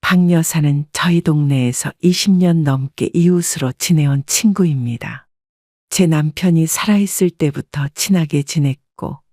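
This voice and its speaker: A woman narrating a first-person family story in a calm, measured manner. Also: low voice, dry recording, studio quality.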